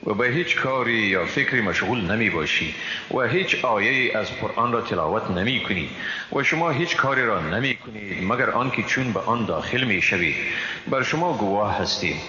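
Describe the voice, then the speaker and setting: A man with an Afghan Dari accent reads a scripture translation in a formal, solemn, measured way. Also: lowish voice, lively intonation, sharp tone. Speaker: translation reader. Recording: reverberant hall, dull, noisy background.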